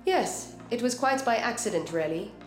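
A woman's voice: on the high side, animated, moderate pace, soft background music, natural voice. An actress playing an earnest, precise female scientist in an audio drama, explaining things formally and carefully. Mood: reflective, explanatory.

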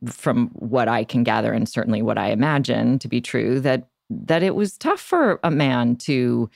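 A woman hosting a podcast interview conversationally, with measured, reflective and articulate phrasing. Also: very deep voice, animated, vocal fry, a little mellow, no room sound, clean studio sound. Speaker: podcast host.

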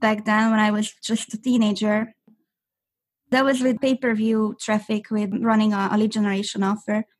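A woman's voice: medium pitch, level tone, moderate pace. A young woman from Hungary, a native Hungarian speaker, chatting as an interview guest with many fillers and restarts. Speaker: guest affiliate marketer. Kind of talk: interview answers.

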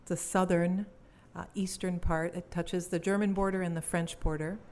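A woman's voice: lowish voice, level tone, moderate pace, a little mellow. A young woman from Belgium, a cellist, chatting casually in an interview and laughing easily. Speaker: cellist and musician guest.

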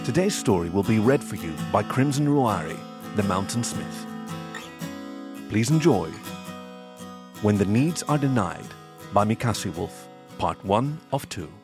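Male podcast host, lowish pitch, scripted intro read over clear guitar backing. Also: lively intonation, a little slow. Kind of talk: scripted announcement. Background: plucked guitar music.

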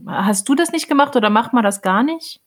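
A woman's voice: fairly high pitch, expressive, a little fast. A woman with a German accent asking casual questions as an interviewer.

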